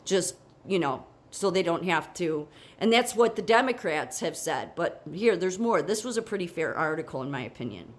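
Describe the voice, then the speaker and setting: A woman's voice: lowish voice, lively intonation, moderate pace, hardly any pauses. A woman with a cold and a sniffle, chatting casually about the news and reading an article aloud, with many restarts and asides. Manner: chatty, frequent restarts and asides, asks "okay?". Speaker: political commentator.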